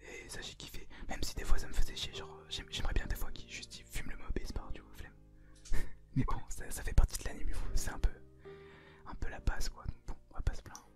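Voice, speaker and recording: Whispering young ASMR reviewer over music. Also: fairly quick. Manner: informal youth slang. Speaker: co-host of an anime review. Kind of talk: whispered ASMR anime review, casual chat.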